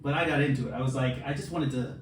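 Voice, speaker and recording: A man's voice: medium pitch, fairly even intonation, rapid-fire. Man chatting in a very echoey room. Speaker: podcast co-host. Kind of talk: casual chat about books. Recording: very echoey, noisy room, distant mic.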